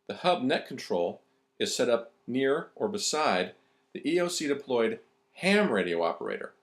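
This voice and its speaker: A man reading scripted narration for an instructional video. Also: fairly low pitch.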